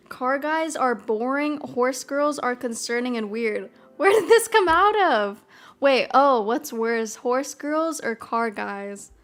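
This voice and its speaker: A young woman with a mild Midwestern American accent, chatting casually in a bubbly, rambling way. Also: on the high side. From American South. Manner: bubbly, rambling, lots of "freaking" and "oh my God". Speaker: streamer. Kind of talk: casual chat. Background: soft piano music.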